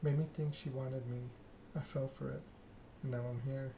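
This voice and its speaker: A man reads a narrative game aloud as ASMR, hushed and measured. His pitch sits in the middle and moves very little. There is clear noise behind him. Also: little pitch movement. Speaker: video game player reading the story aloud. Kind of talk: ASMR game read-along, narration with dialogue.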